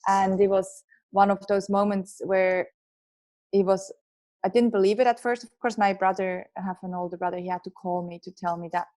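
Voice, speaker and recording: A woman in her thirties with a strong Swiss accent, a guest telling her story openly in a podcast interview, quick bursts with restarts. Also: mid-range voice, steady tone, a little mellow. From Switzerland. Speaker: guest, entrepreneur and author.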